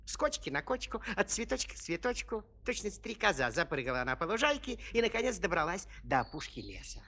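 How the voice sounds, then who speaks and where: A man narrating a children's fairy tale as a storyteller, expressive and playful, savouring the words. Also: high voice, fairly quick.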